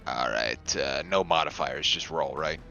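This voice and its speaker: A man's voice: extremely low pitch, unhurried, vocal fry, bright, forceful tone, muffled recording, faint music behind. A man playing a tabletop roleplaying game, talking in a laid-back, hesitant way and swearing casually. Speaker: player.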